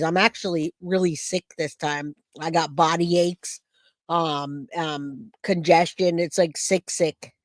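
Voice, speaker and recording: A man hosting a true crime livestream, hoarse and raspy from a cold, chatting casually and greeting viewers by name. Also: a little high, no echo.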